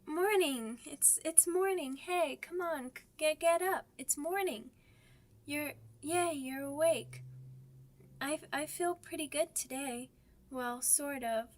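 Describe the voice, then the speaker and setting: Young werewolf pup, ASMR audio drama: very high, slow, halting childlike delivery, sweet and timid. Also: female voice. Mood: cheerful, eager. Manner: childlike, halting, stammering, simple words.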